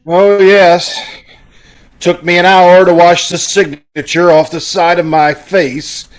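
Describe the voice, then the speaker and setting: Man playing a pompous, high-pitched blustering school principal. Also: level tone, slow pace, poor audio. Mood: pompous, indignant. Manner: pompous, spluttering, stammering. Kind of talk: old-time radio sitcom acting. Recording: amateur video-call radio play recording.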